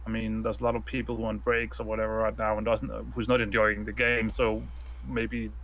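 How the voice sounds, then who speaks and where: A man's voice: fairly low pitch, completely flat delivery, brisk, fairly bright tone. A man from Denmark with a Danish accent, co-hosting a casual gaming podcast in a hesitant way, repeating words and saying uh often. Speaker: co-host.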